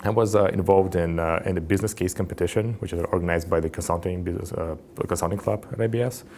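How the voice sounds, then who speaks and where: A young man from Russia with a very deep voice. He talks haltingly, with frequent "uh" fillers. Faint ambient noise lies behind him. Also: steady tone, a little mellow. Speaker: master's student. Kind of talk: testimonial interview.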